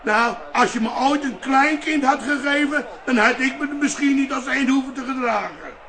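A man's voice: very high-pitched, normal speed, a crowd in the background. An older male actor playing a grumpy, stubborn old father in a radio drama. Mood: indignant grumbling. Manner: grumbling, stubborn. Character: grumpy, cantankerous old father living with his son.

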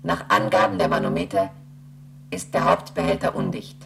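An emotionless space station computer in a radio play, extremely low and creaky. It recites regulations in a formal, literal monotone, with faint noise behind it. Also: female voice, normal speed, exaggerated voice. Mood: impassive. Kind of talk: German radio play acting.

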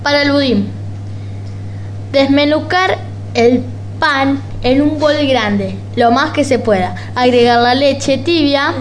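Female radio co-host, high voice, informal live presenting. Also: slow pace, a little hiss or hum.

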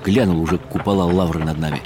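A man's voice: sits low, steady tone, quick pace, noisy background, close to an everyday voice. An actor playing a weary, wry middle-aged writer who narrates his own story in ironic inner monologue for a radio drama. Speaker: narrator and lead character.

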